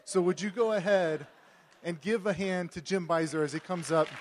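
A man's voice: high-pitched, normal speed, a faint crowd, a little hiss or hum. A young man introducing a guest speaker in a casual, joking way. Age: young adult. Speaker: student introducer.

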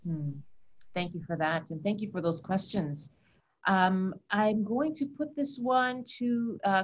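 A woman's voice: mid-range voice, highs cut off. A woman moderating a panel, thanking speakers and posing questions politely.

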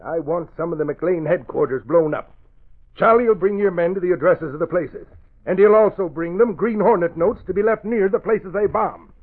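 An actor playing an angry, scheming crooked politician and crime boss in a 1940s radio drama, blustering and commanding, with a gravelly voice. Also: male voice, high-pitched, moderate pace. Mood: commanding, urgent. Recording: muffled old radio recording.

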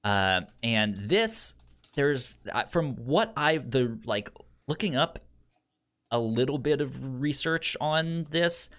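A man with a pronounced stutter, speaking haltingly and casually as a podcast co-host pitching shows. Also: lively intonation, a bit bright. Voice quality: pronounced stutter with repeated sounds and syllables.

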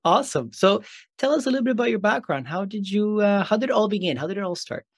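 A man's voice: high voice, expressive, fast, husky, dry recording, studio quality. A man hosting an interview podcast in a conversational style. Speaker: podcast host.

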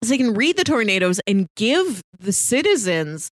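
A woman's voice: a little high, sing-song, moderate pace, dry recording, clean studio sound. A woman co-hosting a casual, conversational discussion about film. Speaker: podcast co-host.

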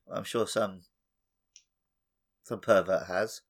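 A man's voice: sits low, fairly even intonation, very slow. A man co-hosting a gaming podcast, bantering casually in a wry, joking manner. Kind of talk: casual banter about a video game.

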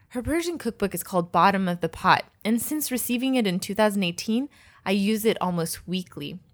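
Lady hosting a podcast, chatty, trips over words a bit. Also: medium pitch. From United States. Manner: warm chatty delivery with fillers and restarts. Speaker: podcast host. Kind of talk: podcast interview.

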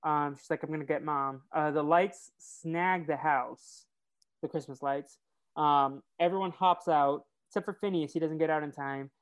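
A woman with a rather deep, nasal voice talks as a podcast co-host. She recaps and chats in a casual, loose way, often acting out what people said as she goes. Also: fairly even intonation, normal speed, clean tone. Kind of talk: casual episode recap and chat.

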